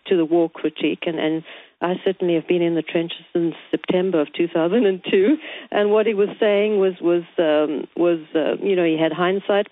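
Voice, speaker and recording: A woman guest columnist speaks on a radio show, hesitant and full of ums, then pressing her points with emphasis. Her voice is fairly low for a woman. The line is dry and narrow, like a telephone. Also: no room sound. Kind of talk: radio interview, opinion commentary. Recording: dry phone-line audio.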